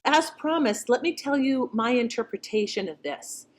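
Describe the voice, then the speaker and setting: A woman's voice: a little high, animated, moderate pace. A middle-aged woman hosting with casual, conversational and reflective commentary. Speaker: channel host. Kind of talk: casual commentary on old radio shows.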